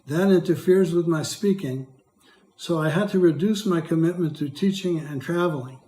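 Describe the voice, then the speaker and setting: An older man with a hoarse, dry voice, reading prepared testimony as a patient in a measured, careful way. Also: fairly high pitch, dark tone, reverberant. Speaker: patient speaker. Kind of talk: prepared testimony read aloud.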